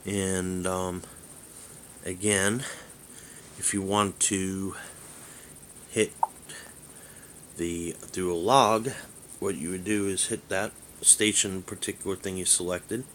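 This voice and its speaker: A man giving a casual hands-on demonstration as a hobbyist vlogger, halting and rambling as he thinks aloud. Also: low-pitched, steady tone, fairly bright tone, slight background noise.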